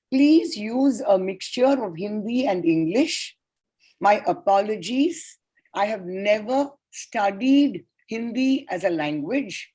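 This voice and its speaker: Slow, husky-voiced woman presenting a paper. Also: medium pitch, a little mellow, rough recording. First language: Tamil. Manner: measured, deliberate lecturing with enumerated points. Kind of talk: academic conference presentation. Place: online conference session.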